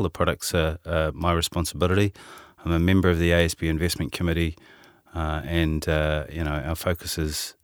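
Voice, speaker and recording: Deep-voiced older Kiwi man, hesitant. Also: dry recording, clean studio sound. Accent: New Zealand. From New Zealand. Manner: hesitant, many fillers and restarts. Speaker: head of asset management. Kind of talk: podcast conversation.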